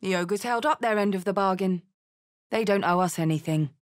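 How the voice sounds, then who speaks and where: A woman's voice: mid-range voice, brisk, close to an everyday voice. A woman playing a bold, blunt tiefling warrior in a video game, hot-headed but caring, with a punchy, theatrical delivery. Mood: wry, alert. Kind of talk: video game character lines and spell chants.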